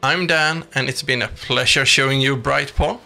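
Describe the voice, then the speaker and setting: Bright, creaky-voiced male game reviewer. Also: medium pitch, normal speed. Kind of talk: scripted review narration. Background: background music.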